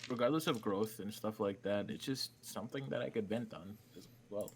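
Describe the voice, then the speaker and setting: A man's voice: on the low side, normal speed, husky. A young man from Canada, chatting on a podcast in a rambling way, swearing a lot and restarting his sentences. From Manitoba, Canada. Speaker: small YouTuber and podcast co-host. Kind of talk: casual chat.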